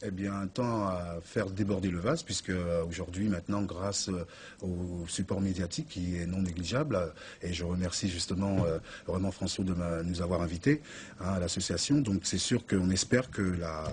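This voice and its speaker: A man's voice: low voice, fairly even intonation, moderate pace, darkish tone, a little hiss or hum. A man answering as a guest in a studio interview, hesitant and searching for his words. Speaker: interview guest, association representative.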